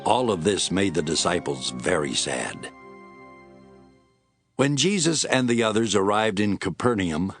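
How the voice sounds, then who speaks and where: A man's voice: low voice, animated, measured pace. A man giving scripted narration of scripture as the narrator of an audio drama. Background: music.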